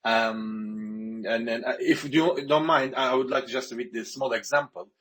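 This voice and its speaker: A man from Turkey, moderating an online panel with halting speech full of filler sounds. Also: medium pitch, fairly bright tone. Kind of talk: online audio panel chat.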